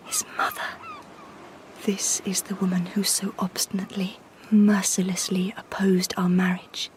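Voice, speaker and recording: An actress playing a frightened but defiant young Victorian bride, speaking intensely in formal British English for a radio drama. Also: female voice, medium pitch, little pitch movement, unhurried, a little hiss or hum, natural voice, low-quality recording. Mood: dismayed. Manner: intense, formal period diction. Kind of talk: radio drama acting with inner narration.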